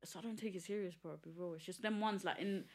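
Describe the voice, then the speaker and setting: A young woman from East London with a deep, husky voice and an East London accent, talking frankly as a podcast guest and swearing casually. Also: steady tone, fast, a little mellow. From East London, England. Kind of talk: casual chat.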